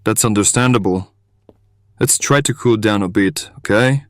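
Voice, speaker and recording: Guy acting calm caring friend, low voice, chatty n reassuring, audio drama, dry recording. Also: normal speed, dry recording, natural voice.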